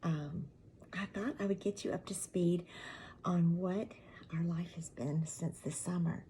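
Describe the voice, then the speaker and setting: A middle-aged woman talks casually to her channel viewers in a low, mellow, gravelly voice that is raspy from allergies. She rambles in a friendly way, and many of her words trail off into a soft half-whisper. A faint hum of noise sits behind her. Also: fairly even intonation, a little slow. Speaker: channel host. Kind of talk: casual chatty update to viewers. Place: at home with a mug of tea.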